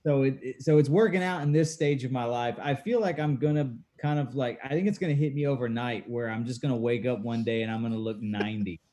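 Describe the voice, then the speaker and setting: Relaxed 30s guy chatting, jokes on himself, normal pitched voice. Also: fast, few pauses. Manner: laid-back, self-mocking storyteller. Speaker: guest. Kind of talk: casual chat.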